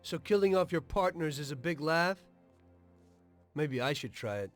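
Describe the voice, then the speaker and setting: An actor playing a betrayed, principled mobster in a game cutscene, earnest and bitterly defiant. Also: male voice, fairly high pitch, lively intonation, normal speed, fairly bright tone, soft background music. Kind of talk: dramatic video game cutscene acting.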